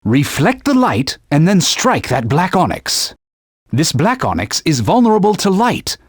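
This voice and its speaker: An actor playing a stern, proud talking sword who guides a hero with formal, old-fashioned speech in a video game. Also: male voice, a little high, huge pitch swings, measured pace, slightly rough, dry recording, clean studio sound, heavily acted. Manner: formal, stern, archaic phrasing. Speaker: sword companion giving gameplay hints. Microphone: dry studio booth.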